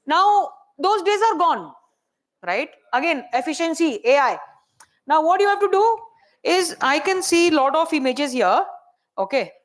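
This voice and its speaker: A soft-spoken woman with an Indian English accent, teaching a hands-on AI workshop and checking in with the room often. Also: sits high, very animated, unhurried. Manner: chatty, checks in often with "right?" and "okay?". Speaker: AI workshop presenter.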